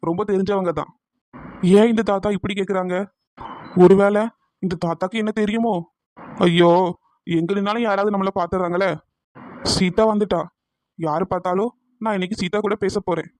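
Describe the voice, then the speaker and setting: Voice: mid-range voice, fairly even intonation, normal speed, pauses often, a little mellow, no room sound, lightly acted, low-quality recording. A performer voicing an earnest, bashful young man in love, tender and reassuring, in a cartoon romance. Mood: bashful, worried.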